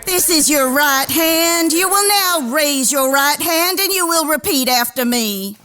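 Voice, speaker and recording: A woman's voice: high-pitched, lively intonation, unhurried. A woman playing a ceremonious official who declaims instructions to new citizens in a radio theater drama. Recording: loud music and crowd behind.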